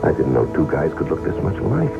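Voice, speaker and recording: A man's voice: a bass voice, wildly swooping pitch, fast talker, vocal fry, exaggerated voice. A man playing an easygoing, wisecracking drifter in a 1970s radio drama. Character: easygoing adult drifter, lookalike of the husband. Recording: muffled old radio broadcast with music.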